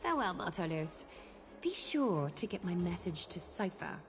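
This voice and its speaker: A woman playing a stern, regal demigod lady in a game, speaking in a measured, imperious, mocking way. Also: lowish voice, big pitch swings. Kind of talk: scripted game voice acting. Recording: loud music behind.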